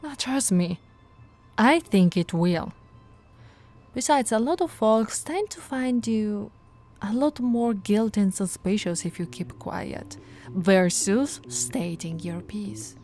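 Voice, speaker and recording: A woman's voice: medium pitch, slow, mellow, faint music behind, lightly acted. A woman voicing a flirty but firm policewoman in an ASMR roleplay, with a teasing, coaxing, authoritative manner. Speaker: voice actor in roleplay audio.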